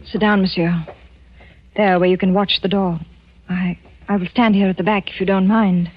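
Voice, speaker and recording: An actress playing a frightened, tense wife in a 1940s radio suspense drama, speaking in a halting, dramatic way. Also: female voice, mid-range voice, steady tone, lightly acted. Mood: tense, grave. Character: frightened, tense wife of a murderous doctor in wartime France. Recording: dull old 1940s radio recording with music.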